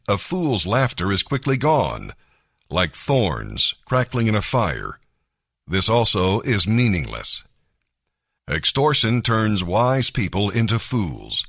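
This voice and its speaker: A man reading scripture as scripted devotional narration, with measured, deliberate phrasing. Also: fairly low pitch, a bit bright, no room sound, clean studio sound. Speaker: Bible reader, narrator.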